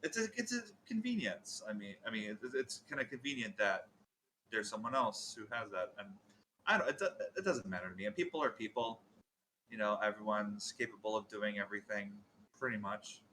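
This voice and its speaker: A man chats in character during a tabletop role-playing game. He rambles and halts, and keeps contradicting himself. His voice sounds bright and forceful, with a faint hiss of noise behind it. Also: lowish voice, expressive, poor audio. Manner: rambling, halting, self-contradicting. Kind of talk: in-character casual chat.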